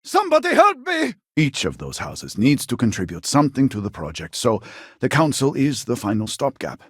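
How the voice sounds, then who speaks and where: A man's voice: medium pitch, huge pitch swings, moderate pace, husky, no room sound, clean studio sound. An actor playing an elderly, proud and impatient male scientist in a video game, speaking in a formal, theatrical way. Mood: panicked, then grave, hopeful. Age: older.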